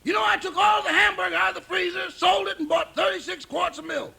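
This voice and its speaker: An actor playing a cheeky, wily male household servant with a very raspy voice and a wisecracking manner, in 1950s radio sitcom style. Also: very high-pitched, fairly even intonation, fast talker, pushed and bright, shouting, heavily acted. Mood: brash, matter-of-fact. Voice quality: very raspy, gravelly. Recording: noisy old 1950s radio recording.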